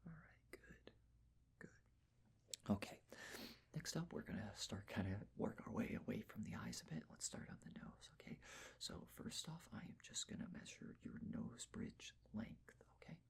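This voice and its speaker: Soft asmr whisperer, androgynous, super breathy, bit of crackle, gently talking someone through stuff. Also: mid-range voice, very animated, moderate pace. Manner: gentle, reassuring, step-by-step instructions. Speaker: ASMR performer playing someone measuring a client's face. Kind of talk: ASMR personal-attention roleplay, soft whispering.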